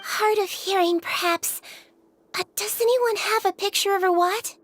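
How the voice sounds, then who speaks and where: A woman voicing a small, gentle, non-human head nurse in a video game, soft-spoken, earnest and measured. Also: very high-pitched. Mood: polite, composed.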